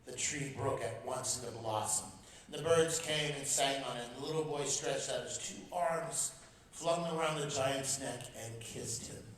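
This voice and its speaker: A man's voice: mid-range voice, normal speed, slight background noise. A man reading a storybook aloud to a church congregation as guest speaker, telling it expressively and without hurry. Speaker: guest speaker at a parish mission. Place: large church. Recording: echoey church heard from far off.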